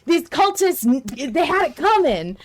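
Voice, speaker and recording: A woman hosting a casual fan discussion podcast, speaking in an emphatic, passionate and halting way. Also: extremely high pitch, sing-song. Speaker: podcast host.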